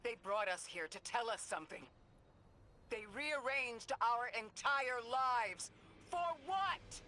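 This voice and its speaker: A performer playing a grave, authoritative leader and strategist in a video game drama, speaking deliberately. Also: medium pitch, lively intonation, bright, forceful tone, a little hiss or hum. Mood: shocked, angry.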